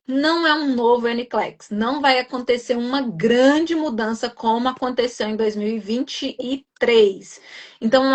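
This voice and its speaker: A young adult woman from Minas Gerais with a Minas accent, explaining and reassuring in a live stream chat, often adding tag questions. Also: fairly high pitch. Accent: Minas Gerais Portuguese. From Minas Gerais, Brazil. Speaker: guest nurse educator.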